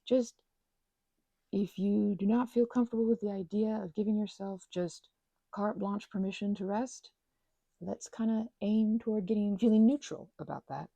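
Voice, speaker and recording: A woman chatting gently in a meandering ASMR ramble, full of fillers and restarts, speaking affectionately to her listeners. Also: mid-range voice, normal speed, gravelly, no room sound. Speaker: ASMR creator. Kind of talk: soft-spoken ASMR ramble while crafting.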